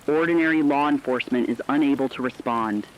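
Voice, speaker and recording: A man's voice: medium pitch, fairly quick, slight background noise, lightly acted. Serious man playing a reporter, dull lo-fi radio sound. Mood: grave, urgent. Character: grave radio news reporter announcing a global catastrophe. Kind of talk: scripted emergency news broadcast.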